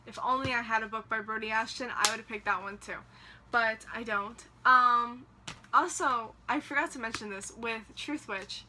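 A woman's voice: fairly high pitch, moderate pace, pushed and bright, a little hiss or hum, low-quality recording. A young woman chatting casually to camera about her reading plans, rambling with lots of fillers, recorded in a small room by her bookshelves. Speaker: book vlogger.

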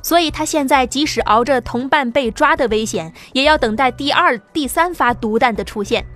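A woman narrating an apocalyptic sci-fi audiobook in a lively, dramatic storytelling manner, with soft music underneath. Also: high-pitched, normal speed.

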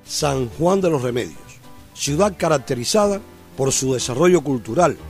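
A man hosting a radio program, reading scripted narration in a measured, poetic way. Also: medium pitch, expressive. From Cuba. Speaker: radio host. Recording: reverberant room with soft music.

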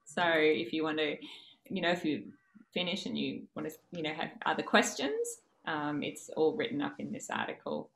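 An Australian woman in her thirties presents an academic talk over a video call. Her voice sits low for a woman, and she speaks in a measured, steady way, often pausing on "um". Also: gravelly, rough recording. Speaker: university lecturer.

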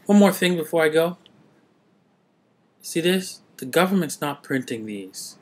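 A man in his thirties, born in Trinidad and raised in Canada, chatting casually to a webcam from a small home office, rambling with many filler pauses. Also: a little high, expressive. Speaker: vlogger. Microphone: webcam at desk.

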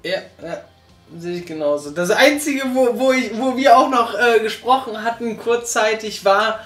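A reviewer hosting a casual couch chat, talking haltingly with many restarts and tag questions. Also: mid-range voice, a bit bright. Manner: halting, restarts a lot, tags remarks with "ne?". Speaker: reviewer, host. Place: small living room, on a couch. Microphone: far camera mic. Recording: roomy, distant mic, faint music.

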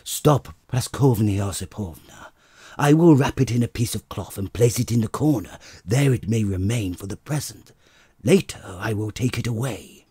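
A man voicing a timid, bewildered barber who mutters in a low, uncertain way. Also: normal speed, warm tone, slightly rough, dry recording, clean studio sound. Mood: placating. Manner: muttering, hesitant. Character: bewildered timid middle-aged male barber.

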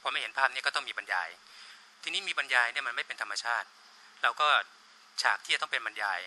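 A man talks quickly in an informal interview, explaining as he goes. His voice is mid-pitched and very sharp, picked up by a handheld mic in a small office. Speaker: radio drama producer being interviewed. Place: small studio office, indoors. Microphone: handheld reporter microphone. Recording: noisy room, handheld interview microphone.

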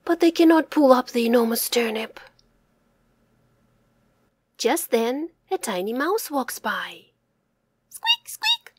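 A woman reads a children's story aloud slowly, her high voice rising and falling with each line. The sound is close and dry, and the delivery is sing-song. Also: animated, darkish tone, slightly rough, dry recording. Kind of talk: reading a picture book aloud to children.

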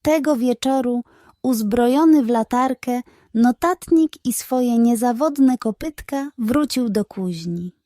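A woman narrates a bedtime story for children. Her voice sits fairly high and she reads slowly, with measured expression. The sound is dry, with no room around it. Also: mellow, no echo.

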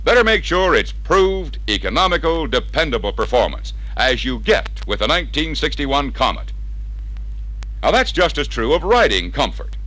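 An American man narrating a scripted 1960s car sales training film in an emphatic, persuasive, crisply enunciated way. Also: on the high side, sing-song, normal speed, pushed and bright, a little hiss or hum, rough recording. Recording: vintage 1961 training record sound.

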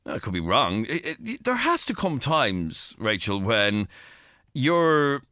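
Middle-aged Irish male talk-radio host; crisp bright tone, animated pitch, halting probing questions. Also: no room sound, studio quality. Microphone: studio microphone.

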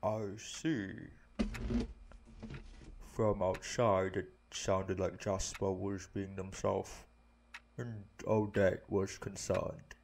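A man playing a calm, protective orc head of security, speaking in a measured, reassuring way in an audio roleplay. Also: deep voice, vocal fry, a little hiss or hum, heavily acted. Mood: calm, knowing. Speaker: voice actor. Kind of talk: intimate audio roleplay acting.

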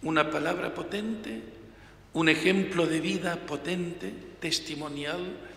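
Older priest preaching in an echoing church. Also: male voice, on the high side, fairly even intonation, slow, creaky. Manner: emphatic, gestures with hands, varies loudness for emphasis. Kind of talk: reading the Gospel and preaching a homily. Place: large church, at a lectern. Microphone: gooseneck lectern mic. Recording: reverberant church hall, faint room noise.

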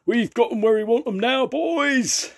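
A man's voice: deep voice, a little slow, pushed and bright, full character voice. Throaty storybook pirate villain, menacing and gloating, read by a man. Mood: roaring. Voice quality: throaty, growling. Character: gruff villainous male pirate captain. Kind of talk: storybook reading.

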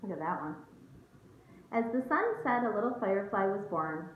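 A woman in her thirties reads a picture book to young children with a very animated, swooping intonation. She pauses to put questions to her listeners. The sound is thin and phone-like, in a roomy space at home with faint noise. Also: medium pitch. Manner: expressive, questioning, speaking to children. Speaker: teacher reading aloud to young children. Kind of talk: picture-book read-aloud with asides to children. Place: small room at home.

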